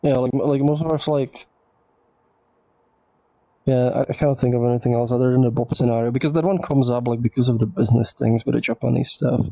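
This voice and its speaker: Gravelly, dark-toned Czech man rambling. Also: medium pitch, steady tone, brisk, no echo, low-quality recording. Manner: rambling, filler-heavy, reads questions aloud. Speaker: host answering viewer questions. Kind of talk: casual livestream Q&A.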